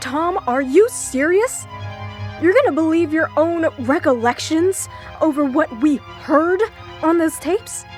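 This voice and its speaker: High voiced fed up accuser, insistent, soft music behind, radio drama. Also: female voice, animated, takes it slowly. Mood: exasperated, accusing. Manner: confrontational, insistent. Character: blunt, exasperated woman exposing her father's crimes. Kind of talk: audio drama acting.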